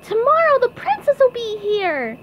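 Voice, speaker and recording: A woman voicing an eager, childlike, bubbly male sea sponge fry cook from a cartoon storybook in an excitable way. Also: very high-pitched, slow pace, a little hiss or hum, voice pushed up, exaggerated voice, rough recording. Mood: thrilled. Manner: excitable, exclamatory.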